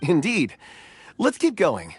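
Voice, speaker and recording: A man's voice: a little high, huge pitch swings, slow pace, slightly rough, faint music behind, full character voice. An actor playing a mild, thoughtful, somewhat hesitant young man in a video game. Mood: helpful, pensive. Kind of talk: video game character acting.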